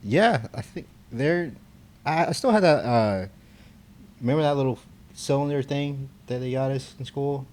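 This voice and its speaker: A man's voice: mid-range voice, normal speed, darkish tone. A young man co-hosting a podcast, chatting casually and rambling with lots of fillers and restarts. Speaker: podcast co-host, concrete estimator. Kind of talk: casual chat.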